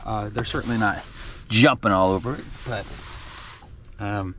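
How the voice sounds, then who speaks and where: A man's voice: fairly low pitch, measured pace, slight background noise. Middle-aged man vlogging from a fishing boat. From American South. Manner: relaxed, chatty, excited over big fish. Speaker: fishing guide and rod maker. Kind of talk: casual outdoor fishing vlog. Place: outdoors on a fishing boat on a lake. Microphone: action camera on the boat.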